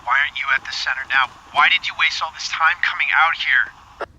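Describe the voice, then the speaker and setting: A stubborn investigator with a sharp, tense voice who snaps short questions. Faint vehicle noise sits under the audio drama. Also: male voice, on the high side, brisk, muffled recording. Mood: exasperated. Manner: curt, exasperated, swearing. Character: gruff irritated investigator, stubborn.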